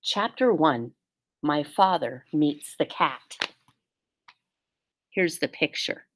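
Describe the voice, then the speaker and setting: A woman's voice: sits low, lively intonation, slow. A woman reading a children's storybook aloud as a teacher does for pupils.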